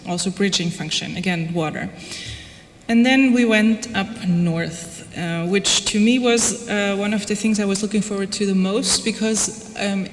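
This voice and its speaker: A woman from Austria giving an informal lecture in a conversational, wry way, peppered with casual asides. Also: medium pitch. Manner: conversational, wry, frequent "like" and "you know". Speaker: lecturer presenting own research project. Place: reverberant lecture room, before an audience. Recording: echoey hall, background noise.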